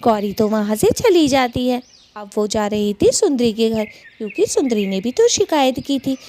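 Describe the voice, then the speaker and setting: Lady narrator for a kids cartoon story, kinda high voice, little bit of background noise. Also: big pitch swings, moderate pace, warm tone.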